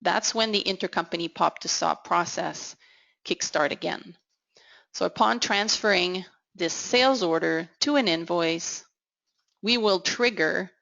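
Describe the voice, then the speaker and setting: A woman giving an instructional software walkthrough as a consultant, in a measured, explanatory way. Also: lowish voice, gravelly. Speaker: technical consultant presenting a software demo. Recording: dull, lo-fi audio. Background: voice-over for a screen recording.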